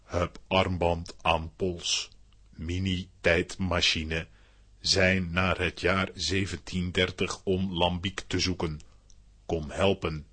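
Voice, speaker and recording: A man playing a gruff, kindly heroic strongman in a children's audio play, speaking in clipped telegraphic phrases. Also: deep voice, level tone, slow pace, bright, forceful tone, heavily acted. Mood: deliberate. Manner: clipped telegraphic speech dropping pronouns.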